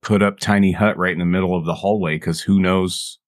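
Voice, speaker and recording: Deep-voiced man in casual game chat. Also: fairly even intonation, fairly quick, dry recording, studio quality. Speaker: tabletop game player. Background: background music.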